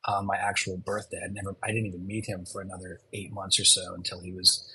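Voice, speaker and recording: Halting man, bright low voice. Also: little pitch movement, a little hiss or hum, rough recording. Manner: halting, many restarts and fillers. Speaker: documentary director. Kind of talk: podcast interview answers.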